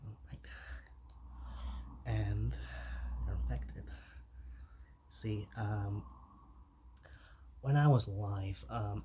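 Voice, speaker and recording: A man playing an easygoing, shy zombie mentor in an ASMR roleplay, speaking softly and haltingly, often dropping into whispers. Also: on the low side, a little hiss or hum. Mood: soft, encouraging, then chatty, wistful. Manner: halting, chatty, reassuring, with sighs. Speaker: ASMR performer.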